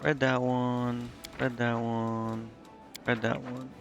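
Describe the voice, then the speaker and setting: A man gives casual live gameplay commentary in a mid-pitched voice, with music playing faintly behind him. He thinks aloud as if half to himself, offhand and often second-guessing his choices. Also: little pitch movement, slow. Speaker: game streamer.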